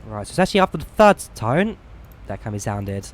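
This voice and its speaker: A man's voice: on the low side, big pitch swings, fairly quick. A man giving casual live stream commentary while flying a simulator, chatty and self-deprecating.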